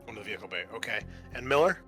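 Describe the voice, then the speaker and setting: A man acting as game master, haltingly improvising narration and prompting the players with questions. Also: fairly low pitch, animated, fairly bright tone, faint music behind.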